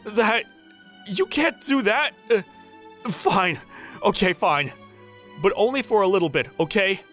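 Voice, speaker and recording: A woman's voice: medium pitch, unhurried. A woman voicing a flustered, lovestruck young working man who gushes and stammers in a manga dub. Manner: excitable, stammering, gushing. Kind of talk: manga dub voice acting with narration. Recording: music under the voice.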